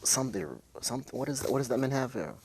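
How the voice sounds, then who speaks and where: A man in his forties from West Texas, talking casually and haltingly as a guest, searching for words as he shares a personal account. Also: mid-range voice, crowd noise. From West Texas, United States. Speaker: interview guest, intersex speaker.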